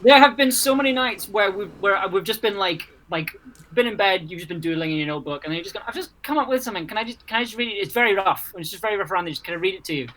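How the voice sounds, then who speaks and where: A man's voice: high voice, animated, very fast, noisy background. A man chatting casually as a livestream guest, starting hesitantly and speaking in a self-deprecating, admiring way over a video call. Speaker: guest, writer.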